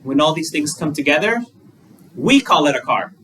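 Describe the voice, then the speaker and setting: A man's voice: fairly high pitch, sing-song, normal speed, sharp tone. A male Buddhist monk giving a dharma talk, conversational, using plain examples and rhetorical questions. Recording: distant mic with faint room noise.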